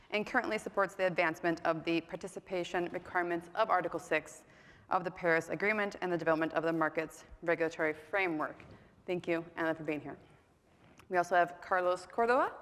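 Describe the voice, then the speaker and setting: Woman panel moderator, lowish voice, chatty and self-deprecating, miked in a reverberant conference hall. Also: fairly bright tone. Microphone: mic on stage. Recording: echoey hall.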